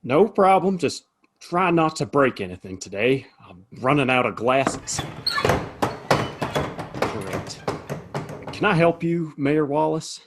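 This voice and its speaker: A man playing a weary Old West saloon keeper in an audio play, husky, hesitant and folksy. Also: a little high, sing-song, slow pace, long pauses, slight background noise, exaggerated voice. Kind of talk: Western audio play acting.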